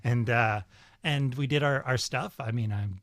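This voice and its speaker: A man in a middle register hosts a conversation, earnest and effusive and often animated. His voice is close and dry, with no room sound. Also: medium pitch, unhurried, no room sound. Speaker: podcast host. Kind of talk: casual interview conversation.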